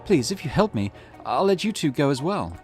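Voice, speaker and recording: Brief: desperate male occult villain, menacing, widely swinging pitch, played by a male gamer, music behind. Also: very animated, fairly quick. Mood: pleading. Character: desperate, menacing male curse bearer seeking resurrection. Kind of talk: visual novel dialogue read aloud.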